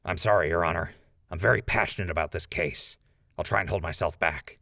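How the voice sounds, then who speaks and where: A man's voice: sits low, fairly quick, creaky, sharp tone, no room sound, studio quality, full character voice. A man playing a defiant, outspoken ghoul defendant in a post-apocalyptic audio drama, arguing his case in an impassioned, rambling way. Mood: contrite.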